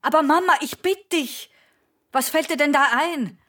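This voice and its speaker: An actress playing an exasperated yet patient grown daughter in a radio play, formal in phrasing. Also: female voice, high-pitched, moderate pace, a bit bright.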